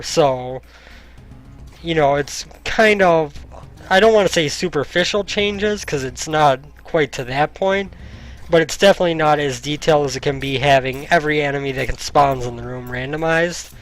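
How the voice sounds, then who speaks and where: A young man commenting casually while playing a video game, thinking aloud with many ums, his voice getting slightly hoarse. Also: fairly high pitch, a bit bright, poor audio. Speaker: gaming YouTuber. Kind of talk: casual let's-play commentary. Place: home room, indoors. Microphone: headset mic. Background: faint game music.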